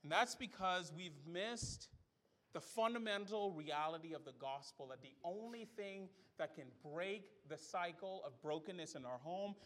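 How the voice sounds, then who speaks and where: A man's voice: high-pitched, moderate pace, fairly bright tone. A middle-aged man from the Bahamas, preaching a sermon to his church with emphatic rhetorical questions. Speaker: pastor. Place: church sanctuary.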